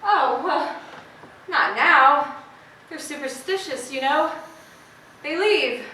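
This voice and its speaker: Woman acting high, bright, proper sheriff's wife. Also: animated, slow, full character voice. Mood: nervous. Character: proper, gentle, dutiful small-town sheriff's wife. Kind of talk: stage play acting, early 1900s rural American drama. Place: stage performance recorded from audience distance. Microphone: distant camera. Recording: echoey hall from far off, noisy.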